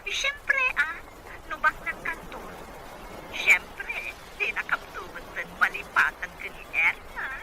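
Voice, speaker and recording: A woman's voice: high voice, huge pitch swings, slow pace, heavy background noise, full character voice. A woman playing a scheming, pushy young friend out for fun in an audio drama. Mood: scheming, urging. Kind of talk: Ilocano audio drama acting.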